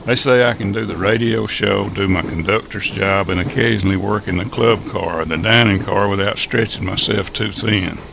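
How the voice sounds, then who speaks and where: Male, low gravelly voice, folksy radio host playing train conductor, noisy rumbling background. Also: normal speed, hardly any pauses. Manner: folksy, hokey. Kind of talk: folksy old time radio comedy skit.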